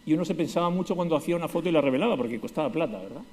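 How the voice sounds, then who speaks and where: A middle-aged Spanish-accented man speaks quickly, with a fairly high voice, as he delivers a conference keynote. He is ironic and pokes fun at himself. His voice carries a long hall reverb. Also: lively intonation, darkish tone. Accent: Spanish from Spain. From Spain, Basque Country. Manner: ironic, self-deprecating humor.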